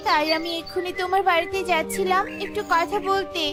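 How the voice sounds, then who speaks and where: A woman's voice: very high voice, flat delivery, brisk, over music. A woman playing an anxious young mother bird in a children's cartoon, pleading and worried. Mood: pleading, eager.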